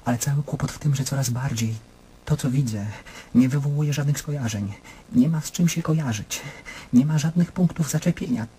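An actor in a radio play voicing an anguished, self-questioning middle-aged man, brooding and intense, speaking in halting monologues. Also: medium pitch, dark tone, slight background noise, close to an everyday voice. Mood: despairing.

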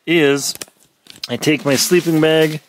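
A middle-aged man, an explorer and adventurer, giving a lively, playful how-to talk from inside a small tent, filmed on a phone at arm's length. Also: on the high side, slow, recorded from a distance, slight background noise. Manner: lively, playful, mock-dramatic asides. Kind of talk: casual how-to vlog. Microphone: phone camera held at arm's length.

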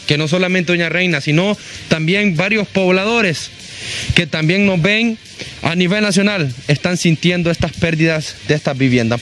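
A man's voice: fairly high pitch, fairly bright tone. A young man with a Honduran accent reporting live from the field and interviewing, speaking into a handheld microphone through a face mask. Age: young adult. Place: outdoors at a house in a neighborhood.

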